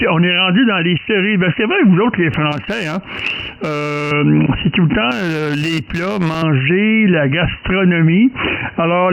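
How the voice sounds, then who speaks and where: A man's voice: high voice, fairly bright tone, dull audio, a little hiss or hum. A man chatting on amateur radio, hesitant and joking, with many restarts. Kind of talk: casual radio chat.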